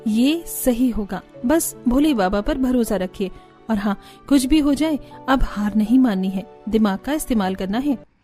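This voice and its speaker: A woman's voice: fairly high pitch, expressive, unhurried, dark tone, faint music behind. A woman voicing a determined, dutiful young daughter-in-law in a cartoon, earnest and reassuring.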